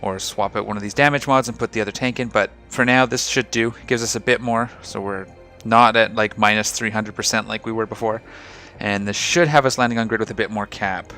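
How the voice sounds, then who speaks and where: A man hosting a casual game video, talking through his ship setup with a slightly rough, hoarse voice. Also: lowish voice, a little fast, hardly any pauses, soft background music. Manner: relaxed, rambling, explains ship fittings. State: slightly rough voice after a night of singing. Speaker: gaming channel host. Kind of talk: casual gameplay commentary.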